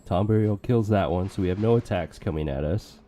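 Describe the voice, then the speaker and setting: A man casually commentating a strategy game with joking asides, his voice going hoarse. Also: sits low, brisk, dark tone, slight background noise. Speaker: let's play commentator.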